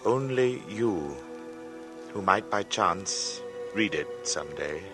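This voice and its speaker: Uneasy artist in his thirties, low voice, halting dramatic delivery, 1940s radio play, music behind. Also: expressive. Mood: measured, foreboding. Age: 30s. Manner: slow, halting, deliberate, dramatic. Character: uneasy 35-year-old English artist writing his strange testimony. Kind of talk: 1940s radio drama acting, first-person narration. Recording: vintage radio broadcast with music.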